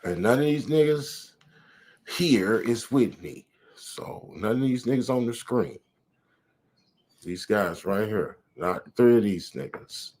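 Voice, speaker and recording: A man's voice: lowish voice, lively intonation, slow, darkish tone, breathy edge. A man hosting his channel, rambling through casual commentary on clips with lots of fillers. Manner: rambling, drawling, fillers like "man" and "you know". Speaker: channel host reacting to clips.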